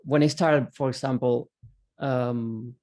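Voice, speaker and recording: A man's voice: mid-range voice, steady tone, takes it slowly, darkish tone. A man from Argentina with an Argentine Spanish accent, a native Spanish speaker, talking casually as a panelist with many fillers and restarts.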